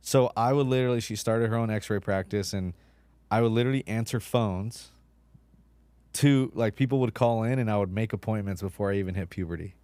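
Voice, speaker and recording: A man's voice: fairly low pitch, steady tone, brisk, darkish tone, no echo. A man in his thirties, a podcast guest whose first language is Spanish, talking casually with lots of likes and you-knows. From United States, Mexican immigrant mother.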